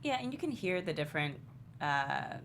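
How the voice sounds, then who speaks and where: Casting: female panelist, very low voice, rapid loose conversational delivery, clearly noisy room. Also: big pitch swings, a bit bright. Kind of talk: casual panel chat. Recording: clear background noise, room ambience.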